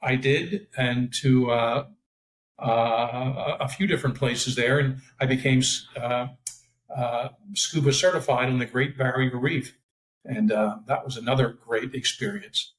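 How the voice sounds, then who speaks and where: A man co-hosting a podcast chats in a loose, rambling way, full of fillers. His pitch is mid-range. His voice carries a noticeable roomy echo. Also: steady tone, measured pace. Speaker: podcast co-host, former profiler. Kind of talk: casual podcast chat.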